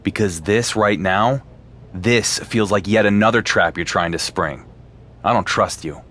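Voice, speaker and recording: A cocky male wrestling champion in a video game who brushes off authority. His voice sits low and his delivery is dry and unimpressed. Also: a little slow, a bit bright. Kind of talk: video game cutscene acting.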